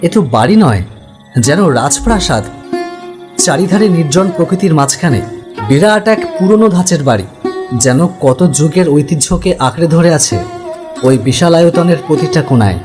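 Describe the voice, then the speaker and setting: A man giving scripted first-person narration for an animated story. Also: fairly high pitch, normal speed. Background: music.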